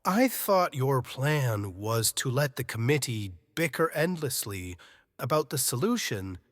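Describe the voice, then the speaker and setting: A man's voice: mid-range voice, sing-song, unhurried, long reverb tail. A man playing a dim, puzzled villain's henchman in an audio drama.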